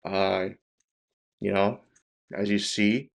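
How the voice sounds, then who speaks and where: A man with a fairly low voice gives casual reaction commentary as a video host. His delivery is nonchalant and low-key, with phrases that hesitate and trail off. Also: steady tone, slow, gravelly.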